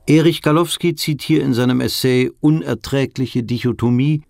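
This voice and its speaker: An actor playing a formal, pedantic documentary narrator who delivers scripted, academic-sounding text matter-of-factly. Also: male voice, mid-range voice, fairly even intonation, moderate pace, creaky, a little mellow, dry recording, clean studio sound, close to an everyday voice. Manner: formal, matter-of-fact, precise. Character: pedantic, dry male essayist-lecturer.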